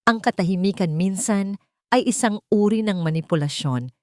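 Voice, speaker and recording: A woman's voice: medium pitch, normal speed, darkish tone, no echo, clean studio sound, natural voice. A woman with a Filipino accent voicing an elegant, scheming rich woman with condescending false concern in a narrated story. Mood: feigned concern. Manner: code-switching, condescending.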